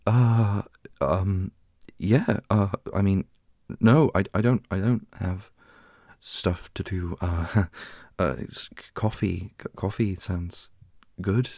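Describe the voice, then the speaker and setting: A man's voice: low-pitched, fairly even intonation, slow pace, warm tone, dry recording, clean studio sound. A man playing a shy, flustered young man who stammers hesitantly in a fantasy audio roleplay. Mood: nervous.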